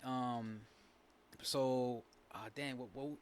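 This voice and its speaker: A young man from Massachusetts whose first language is Spanish, a podcast guest talking casually and haltingly, with lots of fillers and restarts. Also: medium pitch, steady tone, smooth. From New Bedford, Massachusetts. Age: young adult.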